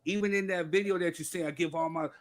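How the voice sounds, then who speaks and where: A man's voice: a little high, steady tone, fast talker. Emphatic man from California debating rap. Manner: emphatic, repeats "you know what I'm saying". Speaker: podcast panelist. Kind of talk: casual debate.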